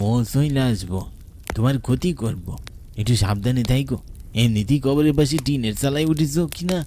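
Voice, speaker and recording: Village tea-stall uncle male actor: mid-pitched, rural Bengali dialect, folksy and cautioning, faint noise behind. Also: brisk, mellow, lightly acted. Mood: ominous warning. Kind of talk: horror cartoon acting.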